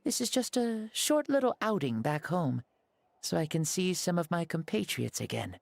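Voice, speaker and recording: A performer voicing a calm, breezy ancient soul in a boy's body for a video game, speaking casually and reassuringly. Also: medium pitch, moderate pace, vocal fry, no echo. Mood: light, breezy.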